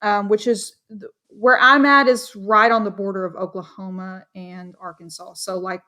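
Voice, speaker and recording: A woman's voice: medium pitch, little pitch movement, moderate pace, sharp tone. A woman from Dallas, Texas, a paranormal investigator, chatting bubbly and casually with lots of fillers in an interview.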